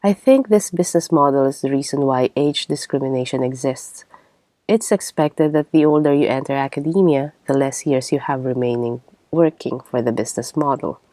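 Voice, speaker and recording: Low-pitched woman podcast host, mellow. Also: normal speed, no echo. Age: 30s. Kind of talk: reflective scripted monologue.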